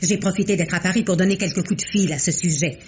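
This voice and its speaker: A woman's voice: lowish voice, fast talker, natural voice. An actress playing a chatty, scheming woman who has inherited a couture house, in an old French radio drama, gossipy and conspiratorial with frequent giggles.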